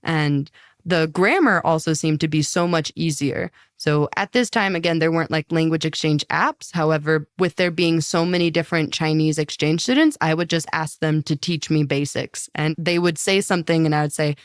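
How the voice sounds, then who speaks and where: Woman, young adult, from the US, low pitch, chatty, frequent fillers, dry sound. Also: moderate pace, dry recording. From United States. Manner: chatty, upbeat, many "like" fillers, laughs often. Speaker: podcast guest, language learner and singer. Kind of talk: casual interview chat.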